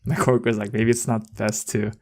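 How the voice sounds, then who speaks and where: A man's voice: lowish voice, flat delivery, fast talker. A young man co-hosting a podcast, telling anecdotes in casual, slangy, rambling talk. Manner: informal, rambling. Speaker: podcast co-host.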